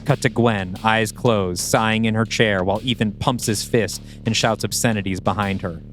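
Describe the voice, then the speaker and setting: A man's voice: fairly low pitch, fairly even intonation, normal speed, fairly bright tone, faint music behind. A man hosting a podcast, reading scripted scene directions as the narrator of an audio play.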